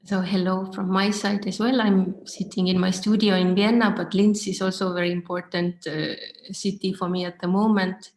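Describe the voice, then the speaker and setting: A woman's voice: medium pitch, little pitch movement, normal speed, few pauses, darkish tone. A woman with an Estonian accent, talking informally and thoughtfully about herself as a guest artist, with some hesitation as she finds her words. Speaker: artist being interviewed. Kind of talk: informal online studio talk. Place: home studio, indoors. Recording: echoey, roomy laptop sound.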